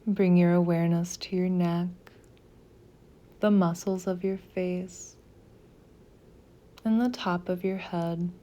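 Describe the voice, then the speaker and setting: A woman leading a guided meditation as a scripted practice, speaking softly and gently in deliberate phrases with pauses. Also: on the low side, steady tone.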